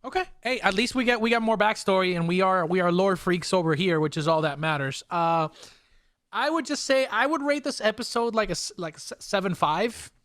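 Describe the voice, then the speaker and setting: A man hosting a casual anime review chat, animated and slangy, with some swearing. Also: high voice, fairly quick, no echo, clean studio sound. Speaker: podcast host.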